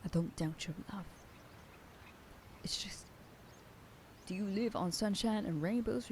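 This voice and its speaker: A woman playing a protective werewolf sheriff girlfriend in an ASMR roleplay, scolding the listener and dropping into soft whispers. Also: on the low side, slight background noise. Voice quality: soft whisper in quieter passages. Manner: scolding, then softening into tender whispers. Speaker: voice actor in an audio roleplay.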